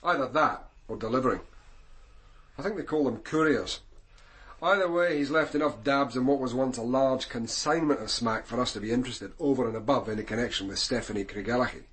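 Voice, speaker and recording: A man playing a senior police detective in a radio crime drama, Scottish, with a measured, dry, authoritative manner. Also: mid-range voice, fairly bright tone, close to an everyday voice. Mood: brisk, dry.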